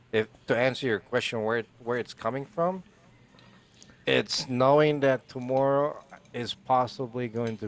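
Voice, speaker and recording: A man in his forties from the Philippines, co-hosting a podcast in a casual, rambling way with many restarts. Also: mid-range voice. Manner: rambling, restarts, laughs often. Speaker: podcast co-host.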